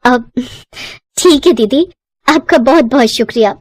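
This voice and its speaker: A woman voicing a poor young village mother, tender but weary, in an expressive cartoon-story manner. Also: sits high, measured pace, no echo. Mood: friendly, upbeat. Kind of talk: Hindi moral-story cartoon dialogue.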